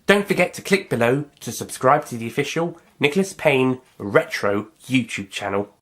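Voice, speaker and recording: A man's voice: medium pitch, sing-song, moderate pace, a bit bright, faint music behind. A man hosting a casual video review, talking to viewers in a rambling, hesitant way and often rewording himself. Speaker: host of a video review channel.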